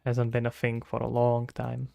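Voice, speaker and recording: A man's voice: medium pitch, completely flat delivery, normal speed, vocal fry, darkish tone, no echo. A Czech-accented man streaming game development, thinking aloud and answering chat questions casually. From Czech Republic. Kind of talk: casual live-stream chat while working.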